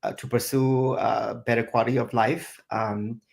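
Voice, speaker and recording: A man's voice: medium pitch, fairly even intonation, moderate pace, vocal fry, a little mellow. A middle-aged man from Thailand and Singapore, a chef answering interview questions in a reflective way, with many fillers. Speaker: chef and guest.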